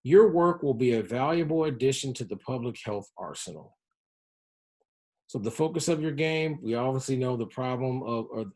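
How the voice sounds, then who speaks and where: Slightly husky male presenter, measured delivery. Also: mid-range voice, darkish tone. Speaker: public health presenter. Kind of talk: educational presentation on health-focused game design.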